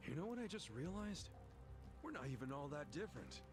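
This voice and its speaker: An actor playing a big-hearted, stubbornly loyal middle-aged ex-convict in a video game drama, speaking in an earnest, informal, rough-edged way. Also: male voice, a little high, very animated, a little fast. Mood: resolute. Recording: game music behind the voice.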